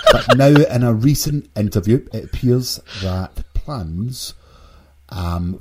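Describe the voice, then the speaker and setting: A man with a Glaswegian accent hosts a casual pop culture podcast. His voice sits on the low side and sounds distinctly husky. He riffs and teases in a jokey way. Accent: Glaswegian Scottish. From Glasgow, Scotland. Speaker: podcast host.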